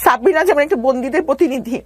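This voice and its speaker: A woman's voice: high voice, lively intonation, quick pace, a little mellow. A Bangladeshi woman telling her own story as an interview guest, earnest and explanatory.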